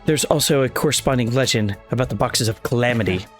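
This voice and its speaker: A man voicing a helpful, earnest ghost boy from a video game, explaining things in a deliberate, halting way. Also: mid-range voice, lightly acted. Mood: earnest, explanatory. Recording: game music playing behind the voice.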